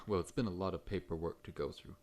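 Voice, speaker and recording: A man playing a large, friendly scorpion monster dentist in an ASMR roleplay, talking in a gentle, reassuring, professional way. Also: sits low, steady tone, fast talker, a little hiss or hum, close to an everyday voice.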